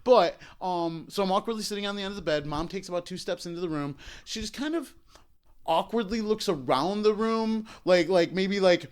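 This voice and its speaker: A man's voice: high-pitched, normal speed, clear, resonant tone. A man co-hosting a podcast, casually telling a personal story with laughter and acted-out quotes. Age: adult. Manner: animated, laughing, acts out quotes. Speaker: podcast co-host.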